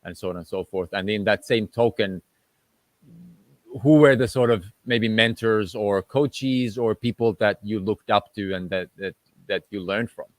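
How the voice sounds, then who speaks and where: A man's voice: fairly low pitch, a little slow, dry recording. A man from Finland hosting a live-streamed interview over a video call, talking in a friendly, loose way with many fillers. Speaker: host. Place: at home in a tropical island location.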